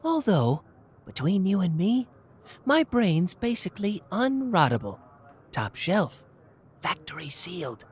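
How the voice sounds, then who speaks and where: Casting a performer for a boastful, playful young male sleuth, very animated delivery, soft ASMR roleplay. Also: mid-range voice, a little slow, slight background noise. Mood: smug. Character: smug young male genius detective, candy-loving.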